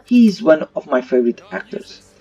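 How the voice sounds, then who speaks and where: A man's voice: a little high, sing-song, moderate pace, warm tone, breathy edge. A man giving an opinion review in voice-over, with non-native English phrasing. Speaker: YouTube movie reviewer. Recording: muffled, slightly distant mic. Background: faint music.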